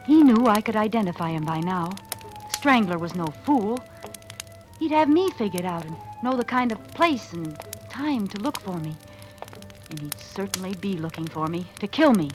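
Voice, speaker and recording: A jittery woman crook played by an actress tells her story in vintage 1940s radio thriller style. Her voice sits at a medium female pitch and sounds hunted and guarded, with faint music in the old recording. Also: expressive, moderate pace, close to an everyday voice. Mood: tense, calculating. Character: jittery female petty crook narrating her fear of a killer. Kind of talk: 1940s radio thriller acting, first-person narration.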